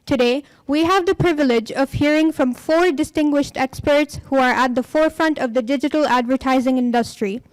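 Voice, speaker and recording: Female event host, high pitch, ceremonious scripted intro, dry sound. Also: a little fast, hardly any pauses, dry recording, poor audio. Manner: formal, ceremonious.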